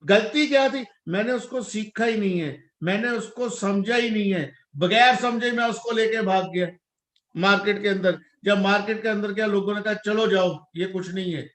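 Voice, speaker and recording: A man's voice: high-pitched, normal speed, a bit bright, roomy sound. A man in his forties from India, a parent speaking earnestly and reflectively in a casual talk, mixing English words into his speech. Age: 40s. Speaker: parent guest.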